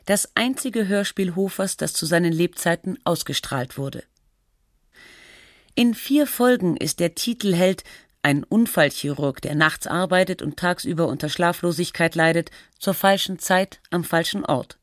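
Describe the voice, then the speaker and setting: A documentary narrator reading a scripted feature in a measured, matter-of-fact, scholarly way. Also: mid-range voice, lively intonation, no room sound, clean studio sound.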